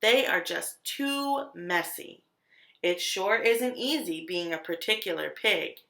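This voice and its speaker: A woman reads a children's picture book aloud as a storyteller, with expressive delivery and deliberate, dramatic emphasis on key words. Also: medium pitch, creaky. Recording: roomy, echoing sound.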